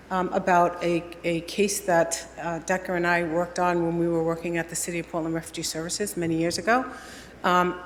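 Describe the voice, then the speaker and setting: A woman's voice: on the low side, a little hiss or hum. A woman moderating a university panel event, speaking with many fillers and a self-deprecating manner at a podium microphone. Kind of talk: event introduction. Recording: echoey hall, faint ambience.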